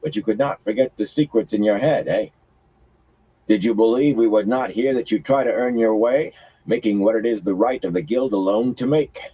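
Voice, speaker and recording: A man's voice: fairly low pitch, moderate pace, husky, pitched above the performer's own voice. Menacing foreign-accented male thug, mocking, performed by a male narrator, lo-fi. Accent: foreign-sounding. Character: grim menacing male guild enforcer.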